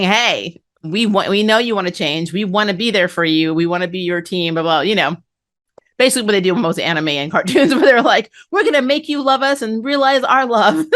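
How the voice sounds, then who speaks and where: A woman's voice: fairly low pitch, a bit bright. A woman hosting a casual anime review, talking conversationally with chuckles between phrases. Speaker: host of an anime review channel. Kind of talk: casual episode review commentary.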